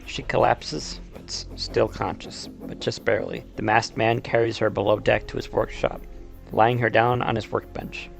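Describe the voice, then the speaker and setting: Casual male video essayist with slight creak. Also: fairly low pitch, little pitch movement, moderate pace, faint music behind. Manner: casual, conversational asides mixed with storytelling. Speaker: YouTuber, video game explainer. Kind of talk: video essay narration, semi-scripted retelling and commentary.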